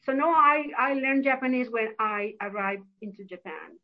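A woman's voice: a little high, moderate pace, muffled recording. A woman from Mexico with a Mexican Spanish accent, a professor and author answering interview questions hesitantly, with many fillers and restarts.

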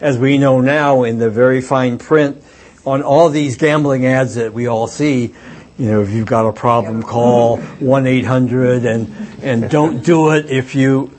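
A man's voice: mid-range voice, steady tone, unhurried, a little mellow. Hesitant older man telling stories. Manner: reflective, hesitant storytelling with many fillers. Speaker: author and former reporter. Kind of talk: book talk with audience questions.

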